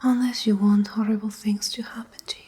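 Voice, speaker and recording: A woman playing a menacing, possessive female pack Alpha in a soft, condescending ASMR roleplay whisper. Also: medium pitch, level tone. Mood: coldly commanding. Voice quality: breathy whisper. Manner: slow, deliberate, condescending.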